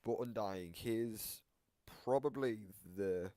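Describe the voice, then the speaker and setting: A man's voice: fairly low pitch, slow, dark tone, no room sound. A man giving casual explanatory commentary as host of a reaction video, often restating his point.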